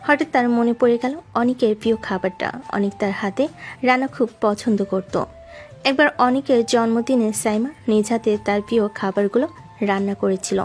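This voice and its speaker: A woman's voice: on the high side, a little fast. A woman narrating a scripted romantic story in a dramatic storytelling style. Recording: music behind the voice.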